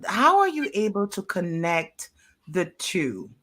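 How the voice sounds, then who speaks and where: A woman hosting a community radio interview, speaking in a formal, deliberate, courteous way. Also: mid-range voice, very animated, background noise. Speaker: radio show host.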